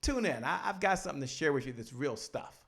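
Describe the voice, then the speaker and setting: A middle-aged man with a fairly high voice hosts a relaxed interview from his home studio. He speaks into a close boom microphone and talks with lively energy, gesturing as he goes. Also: fast, gravelly. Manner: animated, gestures with hands while talking. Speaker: show host. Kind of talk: casual green-room interview. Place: home studio with poster behind. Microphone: studio mic on boom arm.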